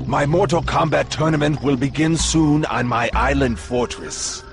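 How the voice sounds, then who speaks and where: A male actor playing a sly, villainous sorcerer in a fighting game, formal and menacing, with a gravelly voice. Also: on the high side, normal speed, music in the background, close to an everyday voice. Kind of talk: fighting game cutscene acting.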